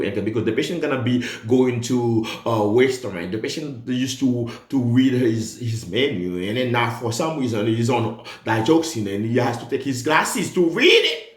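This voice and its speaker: A man's voice: mid-range voice, roomy sound. A man, a Creole speaker, giving an emphatic nursing exam review lesson. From Haiti. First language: Haitian Creole. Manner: animated, emphatic, repeats key points.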